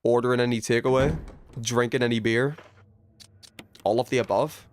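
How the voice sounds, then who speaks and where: A man's voice: medium pitch, fairly even intonation, moderate pace, slight background noise. A man hosting a video game livestream, rambling and thinking aloud as he chats casually with viewers. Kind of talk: casual gaming stream chat, reading viewer messages.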